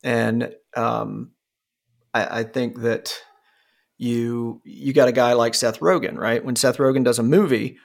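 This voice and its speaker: A man's voice: medium pitch, fairly even intonation, measured pace, gravelly. A man in his forties talking casually, rambling with lots of fillers and restarts and swearing freely. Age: 40s. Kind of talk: casual conversation.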